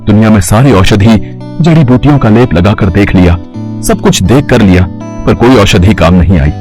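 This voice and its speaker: A man's voice: lowish voice, expressive, normal speed, a little mellow, faint music behind, natural voice. A man playing a formal, regal king and father in a moral storybook tale. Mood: worried. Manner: formal, regal, speaks as royal "we". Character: worried, grateful, stern old-fashioned king and father. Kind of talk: Hindi moral storybook acting.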